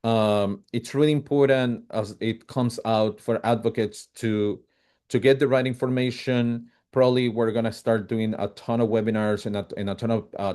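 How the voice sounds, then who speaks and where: Man presenting, halting delivery. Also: on the low side, a little mellow. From Chile. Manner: halting, many fillers and restarts, quick bursts. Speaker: advocacy presenter. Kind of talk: policy presentation on a video call. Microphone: video call.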